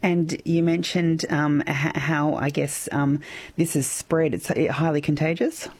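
A man's voice: on the high side, level tone, measured pace, darkish tone, husky. An Australian man hosting a casual radio interview, phrasing things loosely and hesitantly. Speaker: radio host.

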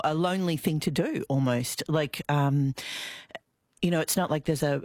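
A woman's voice: low voice, no room sound, clean studio sound. A woman with an Australian accent hosting a radio interview in a chatty, informal, conversational way. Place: radio studio.

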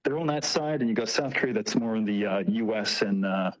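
A man's voice: lowish voice, a little fast, rough recording. A man speaking as an interview guest, explaining and telling anecdotes in an informal, slangy way and acting out short quotes. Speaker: interview guest, tour operator. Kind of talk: casual conversational anecdotes and explanation.

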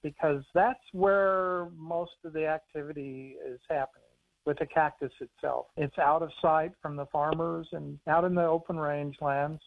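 American man, phone guest on radio, easygoing explainer, slightly high voice, thin phone-line sound. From United States. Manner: easygoing, explanatory, chuckles now and then. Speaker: author and conservation biologist on the phone.

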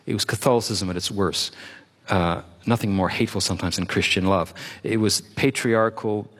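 A man's voice: lowish voice, steady tone, moderate pace, fairly bright tone, long reverb tail. A middle-aged man from Quebec, whose first language is French, speaking as an author guest in an interview. He talks expansively, piling up examples and repeating words for emphasis. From Quebec, Canada. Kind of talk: reflective interview talk.

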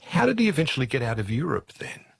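A man's voice: medium pitch, sing-song, fast, creaky, breathy edge, no room sound. A man hosting a conversational interview, asking curious questions and reacting with interjections. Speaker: interviewer and host.

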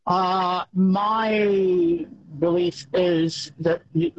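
A Scottish man calls a talkback show over a muffled, lo-fi phone line. His voice sits high for a man and he speaks very slowly. He is blunt and opinionated, with hesitant fillers. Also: steady tone, no room sound. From Scotland. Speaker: talkback caller. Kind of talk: phone-in radio call.

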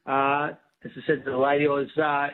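An older man from New South Wales with an Australian accent, calling in to a radio show, good-humoured and hesitant, with many pauses. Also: medium pitch, flat delivery, narrow, tinny audio. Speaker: caller. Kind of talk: phone-in radio chat.

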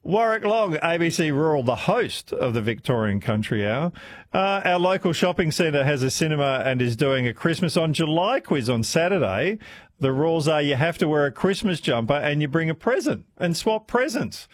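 A man's voice: fairly high pitch, sing-song, normal speed, no echo, studio quality. An Australian man hosting a radio show, bantering in a friendly, teasing way with his guest. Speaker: radio host. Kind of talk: casual radio chat.

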